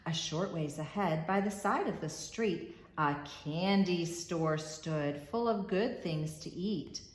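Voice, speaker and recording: A woman reading a rhyming children's storybook aloud as storyteller, expressive and measured in a rhythmic way. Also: fairly low pitch, reverberant.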